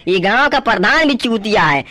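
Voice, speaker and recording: A man's voice: sits high, very animated, moderate pace, bright, forceful tone, full character voice. A man voicing a blunt, cheeky village watchman in a comedy cartoon, brash and colloquial with a rural Uttar Pradesh accent. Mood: brash, defiant.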